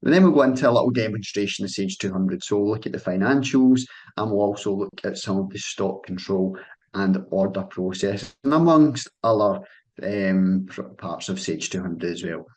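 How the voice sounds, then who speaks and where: A man's voice: fairly low pitch, normal speed, a little mellow, rough recording. A young Scottish man, an account manager, presenting a sales webinar over a call in a friendly, loose style with frequent ums and restarts. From Scotland.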